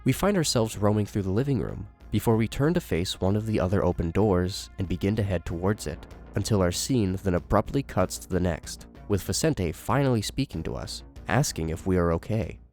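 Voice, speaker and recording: A man with a low voice narrates and comments on a horror series from a script. Faint music runs behind his delivery. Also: lively intonation, fairly quick, hardly any pauses, a little mellow.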